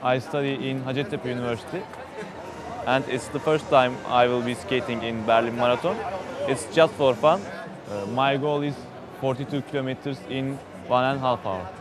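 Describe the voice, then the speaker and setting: Turkish man, 20s, student; halting English over outdoor crowd. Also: mid-range voice, fairly even intonation. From Turkey. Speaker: student skater.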